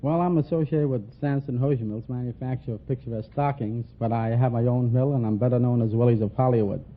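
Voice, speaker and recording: A man's voice: mid-range voice, fairly even intonation, fast. A man answering earnestly and plainly as a contestant on a 1940s radio quiz show. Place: radio studio. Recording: tinny, faintly noisy 1940s radio recording.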